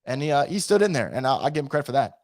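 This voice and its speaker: A man hosting a sports recap stream, hoarse and losing his voice from feeling sick, ranting and reading out chat comments. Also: mid-range voice, rapid-fire, dry recording, studio quality. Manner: animated, frustrated, swearing. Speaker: sports podcast host.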